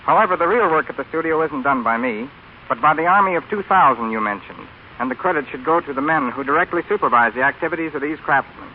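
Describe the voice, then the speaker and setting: Quick-talking American man, old radio. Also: on the high side, expressive. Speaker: guest on a radio show. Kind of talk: scripted 1930s radio talk. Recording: scratchy, tinny old radio broadcast.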